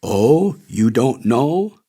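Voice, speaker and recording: A man voicing an elderly, reverent temple priest speaking in secretive, hushed awe in an audiobook. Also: medium pitch, sing-song, drawn-out words, breathy edge, heavily acted. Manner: hushed, awed.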